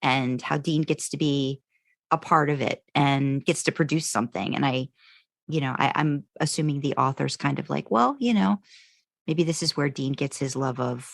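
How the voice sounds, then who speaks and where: A woman with a low voice, recorded close and dry. She co-hosts a podcast, chatting casually and letting her thoughts run on in loose, trailing pieces. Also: normal speed, creaky, no room sound. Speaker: podcast co-host. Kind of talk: casual chat about fan fiction.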